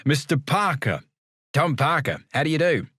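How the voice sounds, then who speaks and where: A man voicing a polite young male newspaper photographer who speaks briskly. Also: a little high, big pitch swings, fairly bright tone, husky, no echo, clean studio sound, voice pushed up, exaggerated voice. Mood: courteous.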